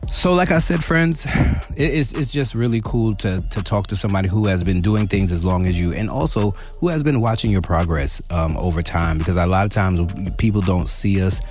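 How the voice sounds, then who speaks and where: Deep-voiced male host over music. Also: moderate pace, darkish tone. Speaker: show host giving an outro. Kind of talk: casual monologue to listeners.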